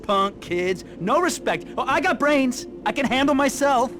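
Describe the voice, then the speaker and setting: An actor playing an excitable, self-important resistance fighter in a video game, blustering and stammering as he talks. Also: very high-pitched, unhurried, music in the background. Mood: grumbling. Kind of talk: video game cutscene acting.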